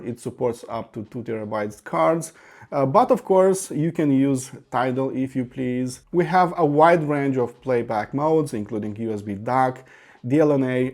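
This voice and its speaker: Man reviewing audio gear. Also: mid-range voice. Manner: detailed, enthusiastic product walkthrough with occasional fillers. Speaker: product reviewer. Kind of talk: scripted tech review.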